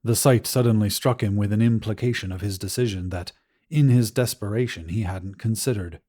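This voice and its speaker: A man's voice: fairly low pitch, fairly quick, mellow, breathy edge. A man narrating a scripted short story as an audiobook reader.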